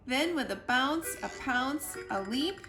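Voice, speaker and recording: A woman reading a children's picture book aloud in an expressive storytime style, with deliberate, playful emphasis on the rhyming words. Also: sits high. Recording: roomy echo with music behind. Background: music.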